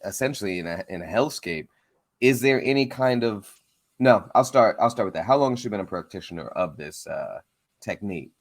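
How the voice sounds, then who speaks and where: A man hosting a comics interview show, talking informally and haltingly as he thinks his questions through aloud. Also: on the low side, lively intonation.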